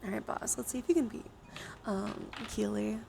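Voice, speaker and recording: A woman hosting a casual ASMR-style live stream game, soft-spoken with half-whispered phrase endings and a casually sweary way of talking. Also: medium pitch, normal speed, creaky, background noise.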